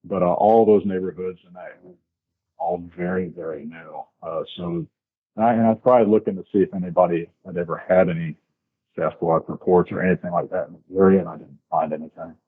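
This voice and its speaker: American man, low husky voice, casual storyteller, halting descriptive delivery, interview guest. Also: steady tone. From southern United States, grew up in Florida. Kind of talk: casual first-hand storytelling. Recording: tinny, band-limited remote call.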